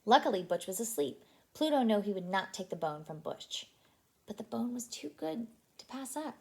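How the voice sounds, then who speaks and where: A woman reading a story aloud to young children as a childcare storyteller, expressive and animated. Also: fairly high pitch, normal speed, vocal fry. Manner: animated, asks listeners questions.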